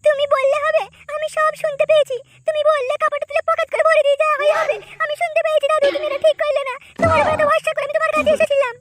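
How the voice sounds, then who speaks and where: A piercingly high young village woman, put on by a voice actress, scolds in comic cartoon melodrama. She is plaintive and accusing, over clear background noise. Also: flat delivery, rapid-fire, hardly any pauses. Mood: hurt, accusing.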